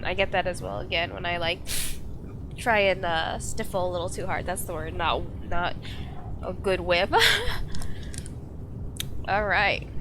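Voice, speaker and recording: A woman's voice: mid-range voice, noisy background. A woman with a stuffy nose and slight cold, chatting casually and rambling with lots of fillers as she streams a visual novel. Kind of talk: casual live-stream chat.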